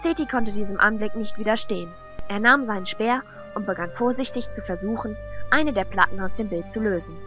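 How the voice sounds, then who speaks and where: A woman's voice: medium pitch, brisk, muffled recording. A woman reading scripted narration for a fantasy audio drama. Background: background music.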